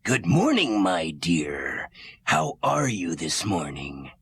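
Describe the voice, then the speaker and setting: An actor voicing a sly big bad wolf in a children's musical story, with a raspy, gravelly voice and a wheedling, falsely polite manner. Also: deep voice, wildly swooping pitch, slow, dry recording, full character voice. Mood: smooth flattery.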